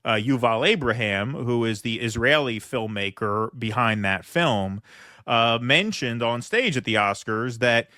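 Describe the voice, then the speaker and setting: A man's voice: medium pitch, a little slow, bright, forceful tone, no echo, studio quality. A man hosting a political commentary show, reading news reports aloud and commenting with frequent fillers.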